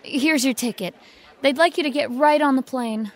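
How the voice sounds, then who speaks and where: Ingénue for radio drama, female performer: high voice, deferential, eager to please. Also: moderate pace, lightly acted. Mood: polite. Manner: deferential, polite. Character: meek, polite, eager-to-please young woman. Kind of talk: dramatic radio play acting.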